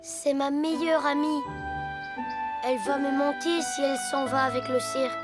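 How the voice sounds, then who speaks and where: A woman's voice: sits high, fairly even intonation, normal speed, loud music behind the voice, close to an everyday voice. A woman voicing a kind, loyal young male hippo in a cartoon, with a sing-song, encouraging way of talking. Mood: tender. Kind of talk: cartoon voice acting.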